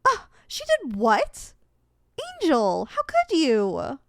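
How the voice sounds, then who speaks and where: A woman's voice: high-pitched, wildly swooping pitch, slow pace, heavily acted. A young woman playing a possessive, easily flustered succubus student in an ASMR audio roleplay, blunt and indignant in manner. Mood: outraged, protective.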